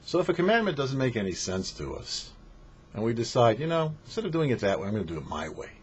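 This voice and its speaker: Male rabbi for short radio-style sermon; didactic, lively intonation, emphatic delivery, faint background noise. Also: mid-range voice, quick pace. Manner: emphatic, didactic, asks and answers rhetorical questions. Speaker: rabbi hosting a weekly Torah portion show. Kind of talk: short sermon, explanatory religious teaching. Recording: dull lo-fi audio with faint noise.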